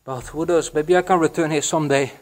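A man's voice: a little high, brisk. Young Belgian man exploring with a camera. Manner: excited, hushed, with frequent fillers. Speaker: urban explorer vlogger. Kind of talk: casual vlog narration. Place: abandoned building, echoey halls and attic. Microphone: action camera, handheld or body-worn.

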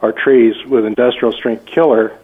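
A man's voice: mid-range voice, fairly even intonation, normal speed, breathy edge. A man on a phone line, questioning firmly and incredulously as a homeowner in conversation. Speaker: homeowner receiving a prank call. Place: on a phone call. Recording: tinny narrowband phone line.

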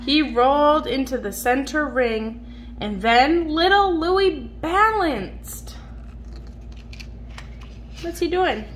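A woman reading a picture book aloud to a young child in an expressive, child-directed way, drawing out words. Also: sits high, slow, pauses often. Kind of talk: read-aloud storytelling to a young child, with simple questions. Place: indoors at home on a dark wood floor. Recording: noisy home phone recording.

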